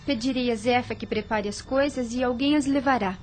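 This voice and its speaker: A woman's voice: a little high, a little fast, slight background noise, natural voice. An actress playing a young woman who inherited a farm in a radio drama, speaking in a composed, formal way that turns curt when she is displeased. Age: young adult. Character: Maria, young woman heir of a farm, gentle but firm.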